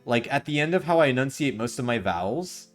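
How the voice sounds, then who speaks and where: A man's voice: mid-range voice, animated, a little fast, soft background music. A Canadian man streaming, reading a visual novel aloud and bantering with chat in an ironic, playful way. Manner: ironic, playful, self-mocking.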